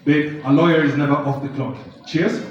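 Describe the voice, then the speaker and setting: An actor playing a tipsy lawyer husband on a live stage, pleading with his wife and shouting and swearing as they argue. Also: a little high, steady tone, moderate pace, crowd noise, natural voice. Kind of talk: live stage play acting. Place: theatre stage. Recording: echoey hall, far mic, dull sound.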